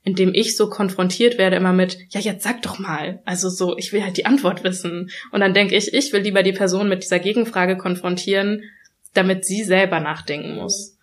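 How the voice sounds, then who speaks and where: A young woman speaks in a relaxed, reflective way and searches for words with frequent fillers. Her pitch is mid-range and her delivery is conversational. She sometimes restarts her sentences as she thinks aloud. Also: fairly quick. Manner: thoughtful, many fillers and restarts, laughs when quoting others.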